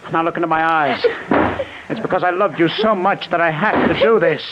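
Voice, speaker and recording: An actor playing a deranged, jealous husband with a deliberate, mock-courteous menace, in 1940s radio suspense style. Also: male voice, on the high side, close to an everyday voice. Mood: grim, intense. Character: deranged, jealous husband, coldly polite gloating killer. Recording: muffled, noisy vintage radio recording.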